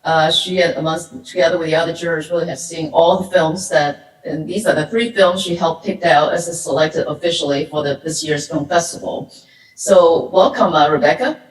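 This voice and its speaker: A woman interviewing a guest at a festival, enthusiastic and quick to laugh. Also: sits low. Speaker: interviewer, host. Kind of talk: panel interview. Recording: echoey hall.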